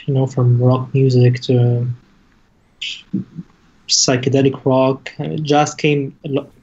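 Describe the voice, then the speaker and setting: A man from Lebanon speaking casually as an interview guest, halting and searching for his words, on a dull lo-fi connection. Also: medium pitch, monotone, mellow, breathy edge. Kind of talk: casual conversation.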